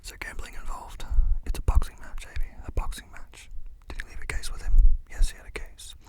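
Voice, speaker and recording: A person performs rough film dialogue entirely in a breathy, unvoiced whisper, hopping from one character to the next. The voice stays hushed and close throughout. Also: a little fast. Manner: whispering throughout, swapping between many characters. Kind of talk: whispered film-scene impressions.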